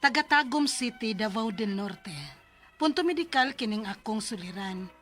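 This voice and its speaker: Radio drama role: tender, worried middle-aged Bisaya mother, fairly high voice, music underneath. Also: lively intonation, moderate pace, a bit bright. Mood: earnest, recounting. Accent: Filipino, Bisaya.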